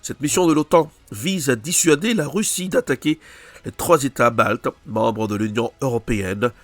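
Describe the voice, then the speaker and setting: A man's voice: mid-range voice, normal speed, no echo. A man presenting a news bulletin, introducing reports with some hesitations and self-corrections. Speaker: news anchor.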